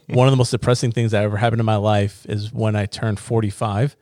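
A man's voice: on the low side, steady tone, a little mellow, no echo. Joking forties man, dry close sound. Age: 40s. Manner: joking, teasing, halting restarts. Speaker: podcast host. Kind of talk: casual church leadership chat.

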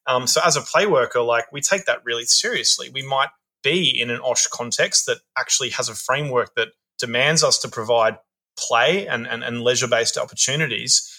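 Bright-voiced man, earnest. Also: medium pitch, a little fast. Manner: thoughtful, earnest, repeats words as he thinks. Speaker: play worker. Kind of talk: podcast interview answers.

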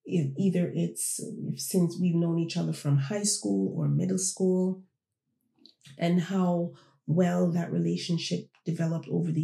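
A podcast host born in Jamaica and raised in Toronto, musing in a loose, conversational way and often turning to the audience with questions. The voice is gravelly and mellow. It sounds like a roomy space with noticeable echo. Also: medium pitch. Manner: reflective, conversational, addresses listeners directly. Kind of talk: casual solo podcast talk.

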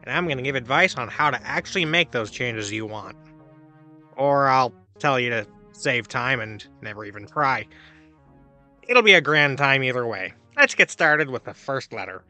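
Man playing an arch, very bright-voiced advice host. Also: mid-range voice, animated, normal speed, soft background music. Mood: breezy, wry. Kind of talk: scripted comedic advice monologue, reading letters aloud.

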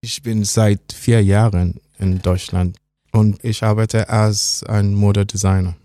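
Man, slow halting German, dark voice. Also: fairly low pitch, flat delivery, no echo, clean studio sound. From Nigeria. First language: English. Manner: halting, simple German mixed with English. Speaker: guest, fashion designer. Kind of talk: interview answers. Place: podcast studio.

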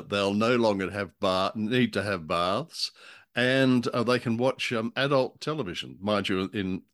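A man's voice: lowish voice, a bit bright, no room sound, studio quality. An older man co-hosting a casual radio drama discussion with hesitant, wryly formal phrasing. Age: older, grandfather. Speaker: podcast co-host.

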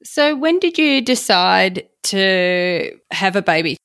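A woman's voice: mid-range voice, big pitch swings, takes it slowly, fairly bright tone, clean tone. A woman interviewing someone in a casual, conversational way.

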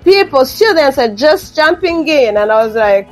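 Woman with a higher voice. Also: lively intonation, moderate pace, faint music behind. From Rwanda. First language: Kinyarwanda. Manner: earnest, repeats phrases, frequent ums. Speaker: guest author. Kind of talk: interview conversation.

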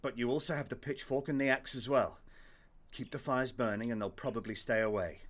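A man playing a gentle, reassuring husband in a dramatised story, speaking softly and tenderly. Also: medium pitch, fairly quick, natural voice. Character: gentle, reassuring young husband and father leaving his family.

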